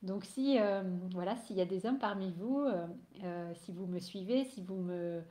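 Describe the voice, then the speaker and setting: A woman's voice: medium pitch, moderate pace, warm tone, echoey room. A woman with a cold who is losing her voice, an energy healer talking casually on a live stream, hesitant with many fillers and restarts.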